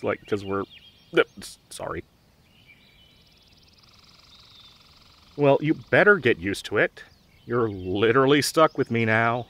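A young man playing a dorky, nervous, protective gamer best friend in an audio roleplay, with halting, awkward delivery. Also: mid-range voice, lively intonation, a bit bright. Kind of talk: audio roleplay drama, conversational.

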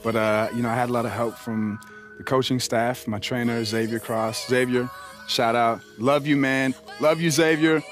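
An American actor playing a young pro basketball star in a video game, easygoing, confident and slangy. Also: male voice, mid-range voice, steady tone, normal speed, soft background music, natural voice. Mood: upbeat. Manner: casual, slangy, friendly banter. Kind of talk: naturalistic video game cutscene dialogue.

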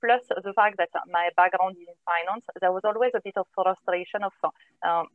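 French woman, 30s, halting and filler-heavy, dry tinny call audio, earphone mic at home. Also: medium pitch, no room sound. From northern France. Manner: hesitant, many filler sounds. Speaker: guest, company co-founder. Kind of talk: video call interview. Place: home room, indoors. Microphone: wired earphone headset on video call.

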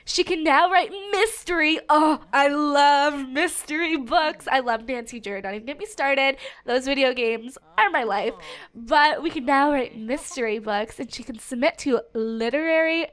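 A young woman with a noticeably high, bright-toned voice hosts a casual let's play. Her nose is stuffed up, and she chats bubbly, with frequent giggles and quick asides. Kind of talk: casual gameplay commentary.

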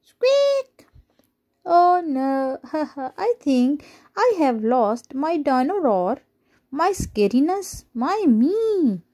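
A tiny, excitable storybook mouse, acted out by a woman reader, full of boasts and bossy exclamations. The voice is high and jumps about in pitch. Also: extremely slow, heavily acted. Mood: dismayed. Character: tiny boastful excitable mouse who thinks he's a dinosaur.

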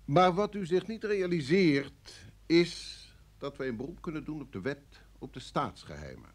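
An actor in a radio play playing a cool, coercive security service official, formal and smoothly insistent. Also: male voice, a little high, sing-song, measured pace. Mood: firm, menacing.

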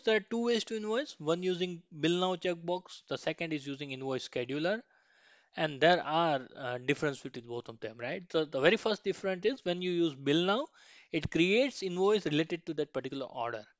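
A man presents an instructional slide tutorial in a fairly high, smooth voice. He explains point by point and often adds little checking tags. The sound is close and dry, though slightly dull. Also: no room sound. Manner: instructional, frequent checking tags like "right?" and "okay".

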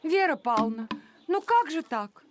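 A woman playing a firm, brisk mother in a children's cartoon, expressive and assertive. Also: sits high, very noisy. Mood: probing.

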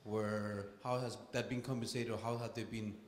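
A man's voice: on the low side, level tone, moderate pace, a little hiss or hum. A man in the audience asking a question, wandering and hesitant. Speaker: audience member. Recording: echoey hall from off-mic.

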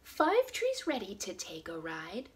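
A woman in her thirties hosting a storytime, talking expressively and deliberately to small children. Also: medium pitch, huge pitch swings. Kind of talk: expressive picture-book storytime reading for young children. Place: small indoor room at home.

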